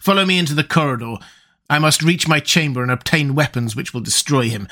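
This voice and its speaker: A man's voice: fairly high pitch, brisk, pushed and bright. A man voicing a scheming middle-aged priest who is gripped by fear, with a raised, theatrical, urgent delivery. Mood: frightened.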